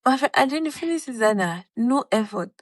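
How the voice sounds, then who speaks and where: Woman, twenties, from Cameroon; podcast co-host, lively storyteller who acts out dialogue, dry close sound. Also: fairly high pitch, fairly quick, dry recording. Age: 20s. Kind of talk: casual chat.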